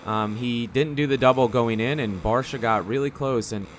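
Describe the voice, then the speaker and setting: A man's voice: mid-range voice, normal speed, slight background noise. A man giving casual gameplay commentary in a rambling, conversational, slangy way. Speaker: gaming video commentator.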